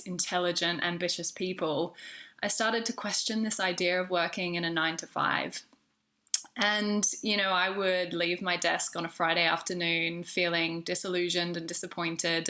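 A woman's voice: on the low side, pushed and bright. A woman with an Australian accent, a business coach talking reflectively as a podcast guest. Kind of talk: podcast interview. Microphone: remote video call.

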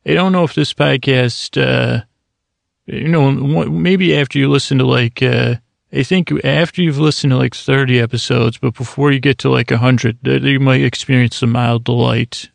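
A man hosting a bedtime podcast, talking in a meandering, halting way full of fillers and self-corrections, kept deliberately slow and mild so listeners can drift off. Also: medium pitch, fairly even intonation, creaky, no room sound. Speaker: podcast host. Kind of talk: rambling bedtime podcast intro.

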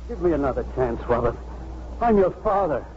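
A man's voice: on the high side, sing-song, normal speed. An actor in a 1950s radio drama playing a guilt-ridden middle-aged father, stammering, hesitant and apologetic. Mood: pleading. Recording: muffled, hissy 1950s radio recording.

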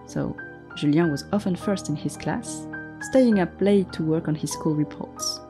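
A woman narrating a story in a gentle, measured, scripted way. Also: on the low side. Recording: faint music underneath.

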